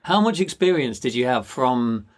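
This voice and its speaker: Mid-pitched man hosting a casual podcast. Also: lively intonation, moderate pace. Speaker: podcast host. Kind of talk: casual interview questions.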